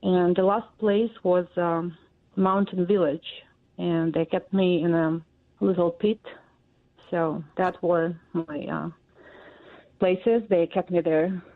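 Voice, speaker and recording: A woman in her forties from Russia, speaking English with a Russian accent as an interview guest, halting and full of fillers. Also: fairly low pitch, fairly even intonation. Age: 40s. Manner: halting, many fillers and pauses. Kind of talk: interview answers. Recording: tinny phone line.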